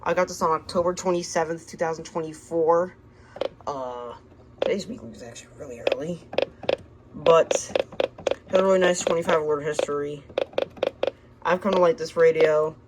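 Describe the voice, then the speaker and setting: Low american woman doing a casual show and tell, kinda halting, bit of noise on a home recording. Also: fairly even intonation. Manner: halting, unscripted, plain-spoken. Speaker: radio collector presenting the collection.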